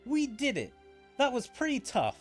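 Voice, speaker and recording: Plucky game adventurer, voiced by a man: eager, chatty, exclaiming, pitched up high, animated. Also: normal speed, faint music behind, full character voice. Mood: amazed, triumphant. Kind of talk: reading game story dialogue aloud.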